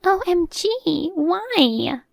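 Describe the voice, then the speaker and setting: A woman's voice: very high voice, sing-song, extremely slow, gravelly, no room sound, pitched above the performer's own voice, heavily acted. A woman voicing a haughty, meddling middle-aged mother-in-law in a story, agitated and indignant. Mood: panicked.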